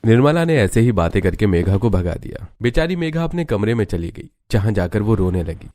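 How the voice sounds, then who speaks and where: Low, mellow male cartoon storyteller. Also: sing-song, a little fast, dry recording. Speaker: narrator. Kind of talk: scripted storytelling narration for cartoon.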